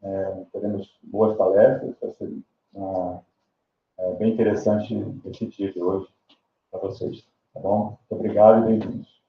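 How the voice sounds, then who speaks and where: A man giving a relaxed, informal welcome speech at a workshop. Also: fairly low pitch, a little slow, noisy background. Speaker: workshop organizer. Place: small amphitheater. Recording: phone-like, distant, echoey room.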